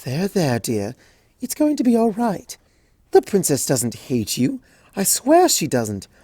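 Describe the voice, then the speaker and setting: A woman voicing a gentle, refined unicorn mare who speaks in a posh, soothing way. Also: fairly low pitch, wildly swooping pitch, unhurried, no room sound, pitched above the performer's own voice, full character voice. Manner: posh, soothing, calls others darling.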